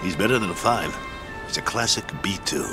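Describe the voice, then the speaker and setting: An eager, deep-voiced fight manager. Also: male voice, animated, a little fast, over loud music. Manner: eager, pleading. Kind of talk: 1960s-style radio drama acting.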